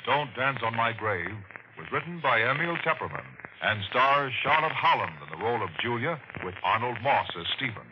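A man's voice: medium pitch, big pitch swings, normal speed. Male 1940s radio announcer, heavy noise. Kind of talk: 1940s scripted broadcast announcing. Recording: muffled, very noisy old radio broadcast.

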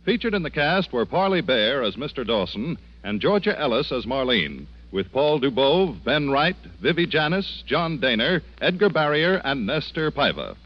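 A man's voice: mid-range voice, very animated, normal speed. A man reading scripted announcements in 1940s radio announcer style. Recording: muffled old radio broadcast.

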